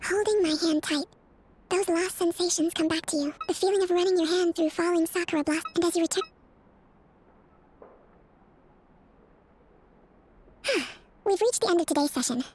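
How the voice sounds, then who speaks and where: A woman playing a composed, caring young dream therapist in a video game, with a gentle, professional manner. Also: very high voice, fast, a little hiss or hum. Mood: soothing. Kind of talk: game voice acting.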